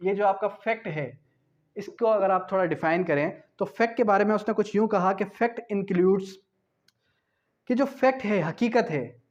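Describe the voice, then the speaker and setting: A man's voice: high-pitched, darkish tone. A man with a Pakistani accent lecturing for an exam, didactically reading out definitions, repeating key phrases and asking rhetorical questions as he explains. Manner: switches between Urdu and slowly read English phrases. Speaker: law lecturer. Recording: dull lo-fi lecture audio.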